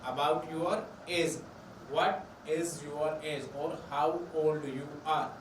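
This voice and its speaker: A man, teaching a classroom lesson, explaining slowly and repeating each phrase. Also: a little high, steady tone. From India. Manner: slow, repetitive explaining, drawn-out words. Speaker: Spanish teacher. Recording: echoey classroom from far off, noisy.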